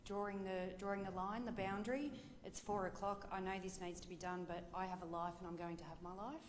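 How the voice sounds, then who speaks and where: A woman's voice: mid-range voice, flat delivery, a little fast. A woman at a conference, confidently reporting her table's points to the room. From Victoria, Australia. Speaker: workshop participant. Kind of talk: reporting back to a group. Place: large conference hall. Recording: echoey hall with background noise.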